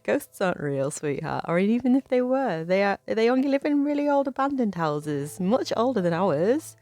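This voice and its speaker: Concerned mum in a horror story, acted by a female narrator: coaxing, incredulous, pitch raised. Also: very animated, moderate pace, warm tone. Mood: dismissive, reassuring. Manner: coaxing, questioning. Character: concerned mother of a small boy, coaxing and incredulous.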